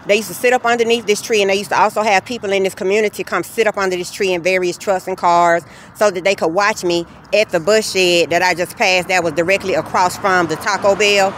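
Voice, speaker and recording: A woman narrating a walking vlog on city streets, filming on her phone, telling her story in an earnest, steady way. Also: medium pitch, quick pace, hardly any pauses. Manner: earnest, steady, recounting grievances. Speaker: vlogger. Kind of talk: walking tour vlog, personal account. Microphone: phone camera held while walking. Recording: faint street noise outdoors.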